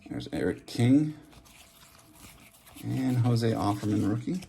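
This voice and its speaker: A man's voice: medium pitch, animated, slow, creaky, dark tone. A man giving offhand, casual running commentary as host, reading out names and musing aloud over faint handling noise. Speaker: host of a trading card opening channel. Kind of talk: casual running commentary while opening card packs. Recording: background hiss, handling clicks and card shuffling.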